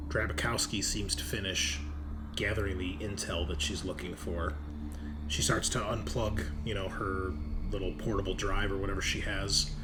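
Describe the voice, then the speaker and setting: Low-voiced man over noisy room background. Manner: informal, conversational. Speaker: game master. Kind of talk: narrating a tabletop game, casual.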